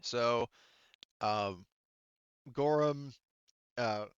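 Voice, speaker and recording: A man narrating casually and haltingly as game master of a tabletop roleplaying game, in an informal, improvised way. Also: medium pitch, clear, resonant tone, highs cut off.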